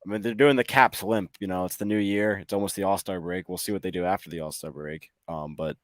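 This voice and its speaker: A man's voice: sits low, fairly even intonation, fast talker, dry recording. A man co-hosting a sports podcast, chatting casually about hockey in a rambling, hesitant way, thinking aloud. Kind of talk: casual hockey fan chat.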